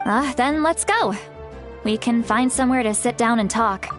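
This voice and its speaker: Woman as a high-voiced, huffy female game elder. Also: normal speed, lightly acted. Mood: subdued. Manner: huffy, scolding, sighing. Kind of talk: video game character acting. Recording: music behind the voice.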